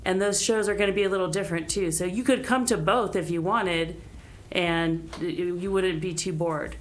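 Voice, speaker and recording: A woman's voice: lowish voice, normal speed. A woman musician chatting casually as an interview guest, with a wry, self-deprecating manner. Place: radio studio.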